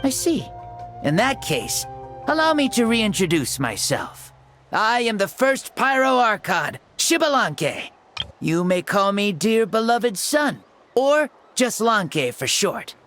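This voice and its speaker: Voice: medium pitch, sing-song, slow. An actor voicing a playful ancient fire god in a boy's body. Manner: playful, joking, self-assured. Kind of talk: video game cutscene dialogue. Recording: game audio with faint music.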